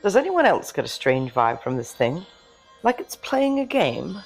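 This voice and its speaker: A man voicing a brisk, skeptical witch grandmother in audio fiction, professional and matter-of-fact. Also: high voice, big pitch swings, vocal fry, soft background music, voice pushed up, exaggerated voice, low-quality recording. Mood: wary, questioning. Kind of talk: audio fiction character dialogue.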